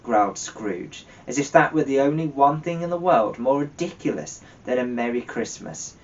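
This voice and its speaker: A distant, slightly dull recording of a man reading a story aloud. His voice is mid-pitched, in scripted literary narration. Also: lively intonation, moderate pace. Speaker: story reader.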